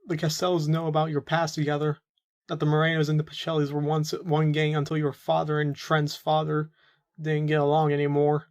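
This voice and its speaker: Man acting a young, higher-pitched captive informant. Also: monotone, brisk, natural voice. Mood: earnest, uneasy. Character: young male captive mafia informant, bargaining for freedom. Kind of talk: audio roleplay acting.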